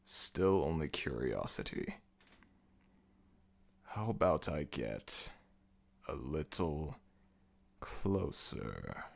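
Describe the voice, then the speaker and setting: A man acting as an imposing jackal-headed god of the dead in an ASMR audio roleplay, speaking slowly and formally with commanding, deliberate menace and curiosity. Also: a bass voice, sing-song, vocal fry, heavily acted. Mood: menacing, curious.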